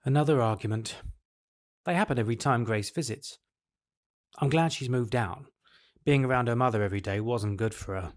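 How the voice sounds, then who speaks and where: A man's voice: mid-range voice, a little fast, pauses often, close to an everyday voice. A man acting a resigned, patient middle-aged husband and father in an audio drama, speaking in a matter-of-fact, weary way. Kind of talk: audio drama acting, confessional monologue and dialogue.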